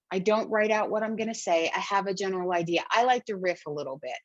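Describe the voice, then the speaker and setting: A woman's voice: lowish voice, dry recording, muffled recording. Chatty woman podcast host, dry sound. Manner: chatty, riffs with fillers and self-corrections. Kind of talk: casual interview chat.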